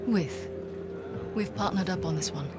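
A woman's voice: fairly low pitch, a little slow, close to an everyday voice. An actress playing a cool, confident female boss of a private army in a video game, with a dry, teasing manner. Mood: cool, firm. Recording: game scene with clear music.

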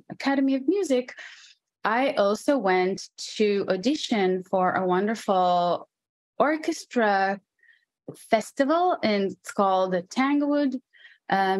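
A woman's voice: mid-range voice, a little mellow, dry recording. Israeli-accented woman on a dry video call. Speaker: guest musician. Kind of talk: conversational interview storytelling. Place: home room at a desk. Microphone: earphone headset on a video call.

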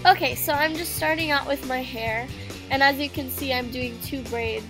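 High-pitched congested teen girl vlogger. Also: steady tone, moderate pace, poor audio. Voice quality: nasal, congested. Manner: chatty, with fillers like "um" and "like". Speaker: beauty vlogger. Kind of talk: casual get-ready-with-me voice-over. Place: home living room. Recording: music playing behind the voice.

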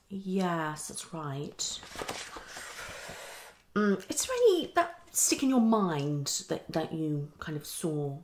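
A woman's voice: fairly low pitch, creaky, a little mellow, slight background noise. A woman, around her thirties, performing an ASMR psychologist roleplay, muttering and repeating herself as she slips between whispers and speech. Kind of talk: fast, unpredictable ASMR roleplay. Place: small living room, indoors.

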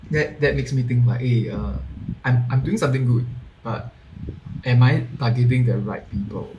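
Guest, male, 20s, Singaporean English, earnest and repetitive, video call with steady background hiss. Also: medium pitch. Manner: earnest, repeats words while thinking. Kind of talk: casual video-call chat. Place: home room. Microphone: earphone mic on video call.

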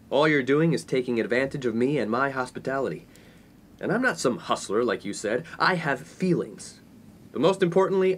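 A man's voice: medium pitch, fairly bright tone, a little hiss or hum, close to an everyday voice. A young man playing a smug, pompous, oblivious college playboy in a comedy radio play. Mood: wounded indignation. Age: young adult. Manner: pompous, self-important. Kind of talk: 1950s-set comedy radio play acting.

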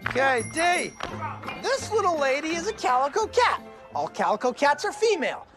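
An actor playing a scrappy, dim-witted, cat-obsessed bar owner in a sitcom, with insistent, erratic, self-assured rambling. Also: male voice, very high voice, sing-song, moderate pace, music in the background. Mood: confident scheming. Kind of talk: sitcom acting.

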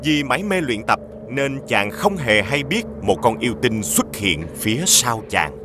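Lively male fairy-tale narrator. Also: medium pitch, measured pace, background noise.

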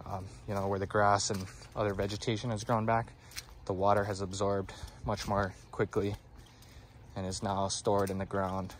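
A man giving a relaxed walking tour of his garden outdoors, with lots of "um, you know," filmed on a handheld phone. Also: lowish voice, normal speed, slight background noise. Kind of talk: casual walking tour explanation. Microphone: phone camera held by the speaker.

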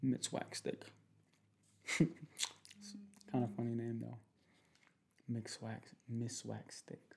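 A young man speaking in a casual, slangy, halting way in an ASMR boyfriend roleplay. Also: medium pitch, little pitch movement, creaky. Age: young adult. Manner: slangy, laid-back, halting with repeated phrases. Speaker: ASMR creator playing a protective boyfriend. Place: small home room, indoors.

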